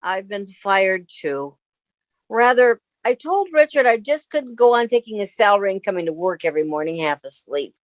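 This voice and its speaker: A woman's voice: medium pitch, animated, normal speed, no echo, lightly acted. A woman playing a sensible, weary young roommate, caring and wry, in a 1950s-style radio comedy. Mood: decisive. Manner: motherly, exasperated, wry.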